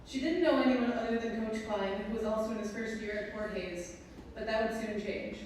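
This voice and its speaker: A woman's voice: fairly high pitch, a little fast, darkish tone. A woman with a Wisconsin accent reading a prepared speech as a presenter at a ceremony. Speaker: presenter introducing her mother. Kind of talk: prepared speech read aloud. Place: large echoing hall at a ceremony. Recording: echoey hall from far, faint noise.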